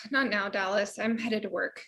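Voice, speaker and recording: A woman playing an irritable, sharp-tongued young girlfriend in a comedy table read. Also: mid-range voice, fairly even intonation, measured pace, creaky. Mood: annoyed.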